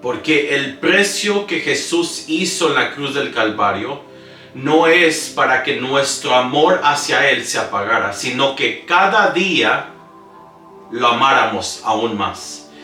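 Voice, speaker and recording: A man preaching a sermon in earnest, reading scripture aloud and pausing to correct himself, who mostly preaches in English these days. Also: medium pitch, expressive. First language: English-dominant speaker preaching in Spanish. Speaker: pastor. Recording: roomy, distant mic with soft music. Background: soft instrumental music.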